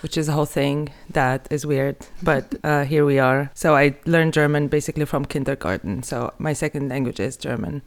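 A woman's voice: sits low, normal speed, hardly any pauses. A woman from Egypt whose first language is Arabic, speaking English as a podcast guest. She talks in a chatty, self-interrupting way and laughs at herself. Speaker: guest, artist and translator. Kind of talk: casual interview chat.

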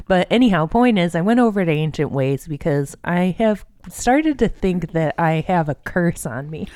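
A woman's voice: on the low side, lively intonation, normal speed, darkish tone, clear, resonant tone, no echo, studio quality. An American woman co-hosting a podcast, chatting and telling stories in an informal, jokey way. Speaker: podcast co-host. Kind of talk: casual chat and storytelling.

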